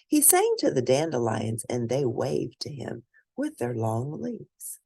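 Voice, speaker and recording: Very low-voiced woman reading a storybook. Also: wildly swooping pitch, a little slow, gravelly, warm tone, breathy edge. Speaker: host reading picture books aloud. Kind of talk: read-aloud children's storybook narration.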